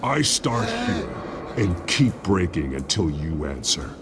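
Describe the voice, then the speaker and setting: A menacing masked interrogator in a video game. His low growl moves slowly and threateningly, with huge swings in pitch, over loud background noise. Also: very animated, heavily acted. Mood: demanding. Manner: slow, threatening. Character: menacing masked vigilante interrogator, brutal adult man.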